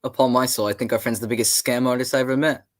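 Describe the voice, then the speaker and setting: A quick-talking English gentleman sidekick, played by a man in old radio drama, with a mid-pitched voice. He is genial, loyal and earnest, easily scandalised and exclamatory. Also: fairly even intonation, no echo, clean studio sound, lightly acted. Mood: indignant, earnest. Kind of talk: 1940s radio drama acting.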